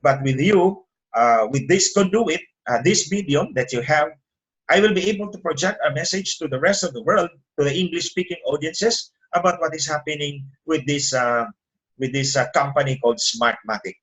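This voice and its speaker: Middle-aged Filipino man, Tagalog native speaking English; attorney guest, fairly high-pitched, heated and emphatic. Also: moderate pace, a bit bright, rough recording. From Philippines. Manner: indignant, rises sharply in pitch when making a point. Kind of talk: interview over a call.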